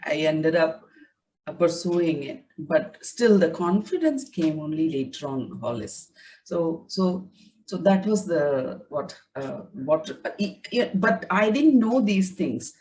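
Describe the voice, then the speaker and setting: Low-voiced, hesitant woman on a video call. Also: expressive, slow, a little mellow, distant mic, rough recording. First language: Tamil. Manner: hesitant, many fillers and restarts. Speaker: interview guest, writer. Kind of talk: reflective conversational interview.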